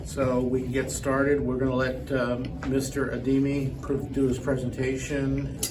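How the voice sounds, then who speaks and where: A man speaking as a host, giving an informal introduction in a halting, polite way. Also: mid-range voice, monotone. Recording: distant mic with background noise.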